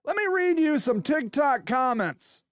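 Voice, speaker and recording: A man hosting a podcast in a loud, profane, ranting monologue. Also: extremely high pitch, very animated, measured pace, dry recording, clean studio sound. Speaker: podcast host. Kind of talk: casual monologue.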